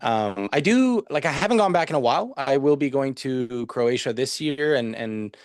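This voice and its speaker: A man's voice: medium pitch, lively intonation, normal speed, no echo, studio quality. A man from Canada, a podcast guest, chatting casually with lots of fillers and restarts. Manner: rambling, many fillers and restarts. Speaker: podcast guest, nutrition professional. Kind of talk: casual interview chat.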